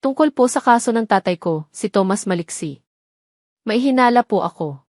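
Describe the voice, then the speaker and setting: A woman's voice: mid-range voice, animated, normal speed, darkish tone, no room sound, clean studio sound, lightly acted. A woman voicing a desperate but determined young woman in an audio drama, pleading politely and earnestly.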